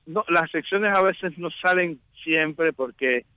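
A man's voice: a little high, takes it slowly, bright, forceful tone, clear, resonant tone, no room sound, muffled recording. A man talking as a magazine editor guest in a casual radio interview, chatty and often restarting his sentences.

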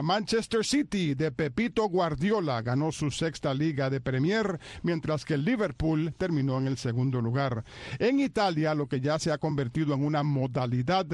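Bright-voiced radio host rattling off results. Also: male voice, fairly high pitch, no room sound. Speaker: sports show host. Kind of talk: sports recap on a radio talk show. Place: Miami radio studio.

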